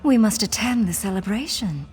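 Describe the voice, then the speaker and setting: An actress playing an imperious, seductive villainess in a video game, teasing and menacing in a languid manner. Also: female voice, medium pitch, animated, moderate pace, a little mellow, breathy edge. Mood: gleeful menace. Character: seductive villainess enthralling her worshippers, imperious and mocking. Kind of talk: video game character acting.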